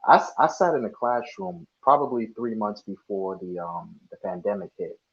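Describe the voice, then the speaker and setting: A man's voice: on the low side, animated, normal speed. A young man born in Ghana and raised in the States, talking earnestly as a guest on an online panel, often restarting his sentences. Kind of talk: casual online panel discussion. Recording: dull lo-fi audio.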